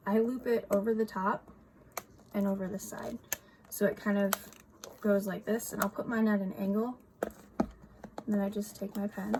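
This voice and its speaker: A woman vlogger, slightly scratchy from a cold, casually walking through her journal with soft ums and small laughs. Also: mid-range voice. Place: home room with outside noise.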